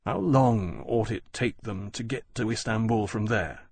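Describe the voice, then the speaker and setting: A man voicing a courteous, gravely reasonable British officer in an audiobook, speaking formally and deliberately. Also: on the low side, a little mellow, full character voice. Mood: disquieted.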